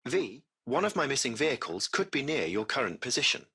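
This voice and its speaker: A man's voice: mid-range voice, very animated, a little fast, vocal fry, sharp tone, husky, full character voice. A man voicing a courteous, composed artificial intelligence that runs a taxi fleet, speaking in formal, precise, butler-like phrases for a video game. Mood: composed, informative. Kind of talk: scripted video game voice acting.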